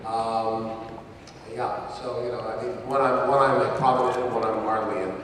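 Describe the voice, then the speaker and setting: A man from Canada who works as a voice actor, chatting in an animated, playful way on a convention panel. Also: lowish voice, normal speed. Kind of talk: unscripted panel chat to an audience. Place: large convention hall, panel before an audience. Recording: very echoey convention hall from far off, loud noise.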